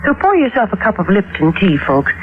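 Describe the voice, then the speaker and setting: A woman announcer giving a chipper, folksy, polished 1940s radio sponsor pitch. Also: big pitch swings, fairly quick, soft background music. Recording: tinny, phone-like old radio, faint noise.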